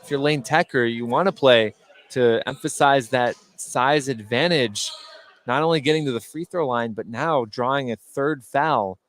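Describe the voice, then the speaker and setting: A man's voice: medium pitch, normal speed. A man doing live play-by-play commentary of a basketball game for a radio broadcast. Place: at courtside in an indoor gymnasium. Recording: live game broadcast over loud gym noise.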